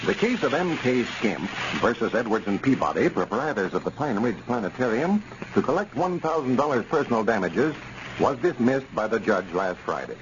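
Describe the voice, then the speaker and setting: Male radio announcer, formal, emphatic, very lively intonation, scratchy muffled 1930s recording. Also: medium pitch, normal speed. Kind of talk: 1930s scripted radio announcing and sponsor pitch.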